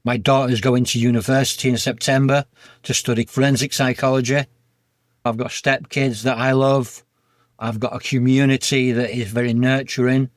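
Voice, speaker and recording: A man's voice: mid-range voice, dry recording, clean studio sound. A man with a Yorkshire accent, a podcast guest speaking earnestly and plainly about his life. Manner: earnest, heartfelt, plain-spoken. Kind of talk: candid personal interview.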